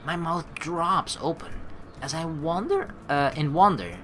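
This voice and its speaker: A woman's voice: low-pitched, unhurried, fairly bright tone, slight background noise. A woman doing a playful let's play, reading game narration aloud and adding teasing, jokey asides. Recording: music behind the voice. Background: game music.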